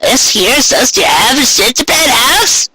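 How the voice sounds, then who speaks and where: A man voicing a feisty, blunt, elderly country granny pony in a strained high falsetto, with folksy rural phrasing, in a storybook reading. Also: lively intonation, measured pace, bright, forceful tone, slightly rough, no echo, exaggerated voice, rough recording. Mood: delighted. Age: older. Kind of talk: amateur storybook character reading.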